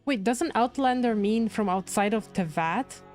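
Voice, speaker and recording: A woman's voice: fairly high pitch, unhurried, darkish tone. A woman livestreamer giving casual reaction commentary on a game, with music behind her.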